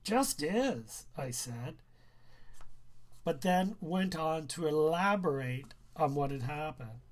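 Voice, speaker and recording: A man reading a first-person story aloud as its author, in a measured, expressive storytelling way. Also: a little high. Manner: measured, expressive, acts out dialogue. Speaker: author reading own book. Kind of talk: narrated live book reading, first-person teen story.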